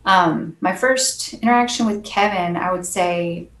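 A soft-spoken woman, a percussionist guest, chatting earnestly and laughing often in an interview. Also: lowish voice, measured pace, reverberant, slight background noise. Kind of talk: casual interview chat.

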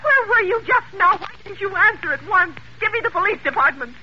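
A querulous, panicky invalid woman in a golden-age radio drama. Her voice is extremely high and swoops wildly as she demands and frets with theatrical indignation. The old recording is muffled and plainly noisy. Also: expressive, brisk. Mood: panicked. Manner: shrill, indignant, melodramatic and demanding. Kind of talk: 1940s radio thriller acting.

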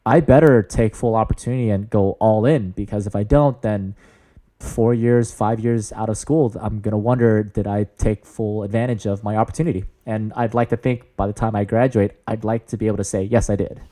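Booming guy in his 30s, low mellow voice, upbeat and earnest, lots of fillers. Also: steady tone, brisk. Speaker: student leader. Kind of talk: interview answers.

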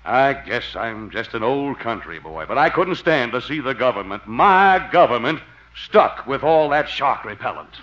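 An actor playing a flattering, folksy con man of a salesman in a 1950s radio comedy, ingratiating in manner. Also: mid-range voice, normal speed. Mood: folksy sales patter. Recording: dull lo-fi old radio recording.

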